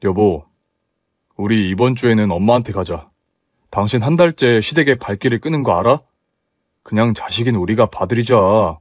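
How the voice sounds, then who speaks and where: A young husband caught between wife and mother, as a man plays him. He grumbles, then coaxes in a lowered, everyday voice. The recording is dry and close. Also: normal speed, pauses often, dry recording, close to an everyday voice. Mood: coaxing.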